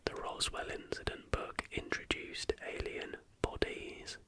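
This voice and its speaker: ASMR host, unvoiced whisper, breathy, hushed, measured scripted narration. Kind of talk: ASMR reading of conspiracy history, scripted narration with brief asides.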